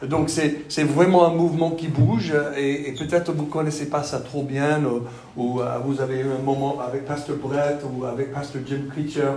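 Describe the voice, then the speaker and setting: A man from Texas with a Texan accent, speaking French as a second language, preaching a sermon with jokes and halting fillers. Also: on the high side, fairly even intonation, a little mellow, slight background noise. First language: English. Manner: joking, self-deprecating, halting with fillers. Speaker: pastor. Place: church hall. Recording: echoey church hall.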